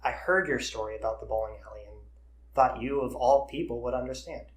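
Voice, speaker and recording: A man playing an earnest, haunted man in his early twenties who tells his eerie story haltingly in an audio drama. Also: medium pitch, lightly acted. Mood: earnest, pleading. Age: young adult. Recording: roomy, echoey voice recording.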